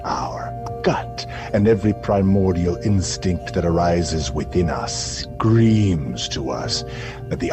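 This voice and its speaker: Very low, theatrical radio philosopher. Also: male voice, exaggerated voice. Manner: portentous, dramatic pauses, swinging from hush to proclamation. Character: eerie, grandiose male radio science reporter, philosophical and theatrical. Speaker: radio science reporter. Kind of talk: theatrical scripted radio monologue. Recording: music behind.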